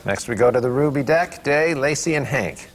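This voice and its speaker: A man's voice: medium pitch, expressive, normal speed. A man reading stage directions aloud at a script table read. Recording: echoey room with clear background noise.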